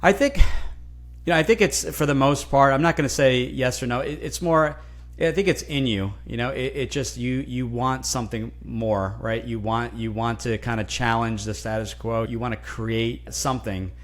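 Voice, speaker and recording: A man from New Jersey whose first language is Italian, speaking as a guest in a casual interview, animated and often restarting words. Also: medium pitch, normal speed. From New Jersey, USA. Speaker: guest, franchise consultant.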